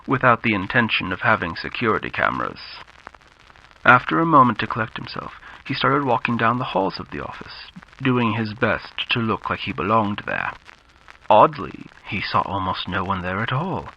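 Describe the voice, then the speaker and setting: A man narrating a scripted horror story in the style of classic radio drama, with measured, dramatic delivery. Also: fairly low pitch, vocal fry, bright, forceful tone. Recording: muffled lo-fi audio with background noise.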